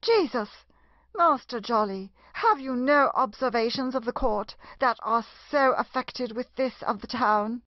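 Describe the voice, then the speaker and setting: A woman playing a prim, easily scandalised gentlewoman in a period comedy audio play, protesting with indignation. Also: fairly high pitch, expressive, unhurried, no echo. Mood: scandalised, indignant. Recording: dull, dry lo-fi audio.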